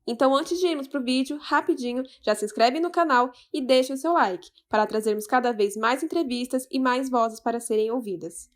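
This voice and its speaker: A woman's voice: sits high, fairly quick, hardly any pauses. A woman hosting the channel, opening the video in an upbeat, friendly way. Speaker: channel host. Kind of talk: video intro.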